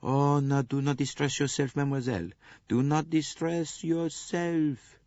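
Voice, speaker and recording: A man voicing a fastidious older Belgian detective who speaks softly and precisely. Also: medium pitch, sing-song, gravelly, breathy edge, no room sound, voice pushed up, exaggerated voice. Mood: calm. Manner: soft, precise, coaxing. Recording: dull lo-fi recording.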